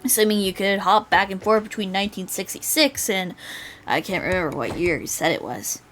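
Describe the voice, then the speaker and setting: A woman voicing a reflective older man who narrates a visual novel in a measured, wistful way. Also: mid-range voice, a bit bright, slight background noise, lightly acted. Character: reflective older male lawyer, a wedded war veteran.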